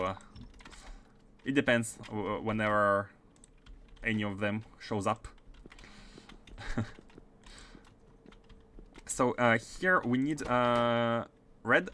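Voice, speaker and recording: A man's voice: mid-range voice, slight background noise. A man with a mixed Russian and French accent giving casual, filler-heavy commentary while he plays a game. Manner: chatty, many fillers, bursts of excitement. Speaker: game streamer.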